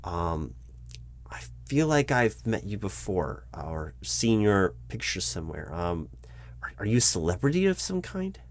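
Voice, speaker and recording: A man playing a nervous, polite recruiting manager in a job interview roleplay, speaking aloud in a halting, stammering, apologetic way. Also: on the low side, very animated. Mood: puzzled, distracted. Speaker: roleplay performer. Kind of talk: one-sided job interview audio roleplay, spoken aloud.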